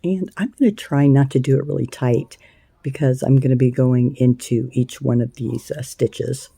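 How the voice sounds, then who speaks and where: A woman with a scratchy voice hosting a casual crochet tutorial, chatty and unhurried, with plenty of ums and uhs. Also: a bass voice, steady tone, gravelly, warm tone, breathy edge, no room sound. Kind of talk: casual hands-on craft tutorial.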